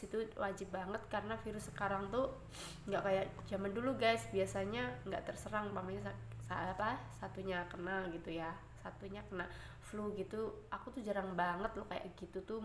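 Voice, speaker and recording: A woman vlogging from home with a stuffy, congested voice, chatting to viewers in a rambling way with many fillers. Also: mid-range voice. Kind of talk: casual chat to viewers. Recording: echoey room, faint ambient noise.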